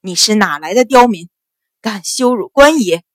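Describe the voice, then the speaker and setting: A woman's voice: medium pitch, lively intonation, moderate pace, a bit bright, no room sound, studio quality. A woman voicing an arrogant male government officer in an audiobook, a sneering, blustering bully who howls like a coward. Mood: pained, furious.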